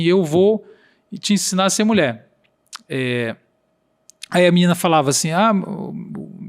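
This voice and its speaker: A man with a regional accent, a podcast guest explaining earnestly and acting out quoted dialogue. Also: high voice. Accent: Brazilian Portuguese regional accent.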